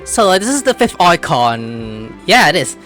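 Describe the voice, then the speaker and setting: Animated low-voiced woman gamer. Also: moderate pace. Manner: reactive, talking to game characters. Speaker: gaming video host. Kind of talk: casual playthrough commentary. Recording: game music behind the voice.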